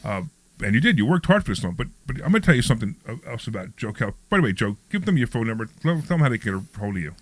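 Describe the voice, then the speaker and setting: A man's voice: medium pitch, very animated, racing, no echo. A man in his sixties hosting a talk radio show, rambling and repeating himself in casual chat. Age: 60s.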